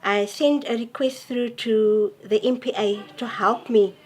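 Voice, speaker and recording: A woman speaks in a slightly high voice. Her words come slowly and earnestly, with pauses and restarts. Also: fairly even intonation. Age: 40s. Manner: halting, earnest, soft-spoken. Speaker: interviewee. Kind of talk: personal testimony to camera.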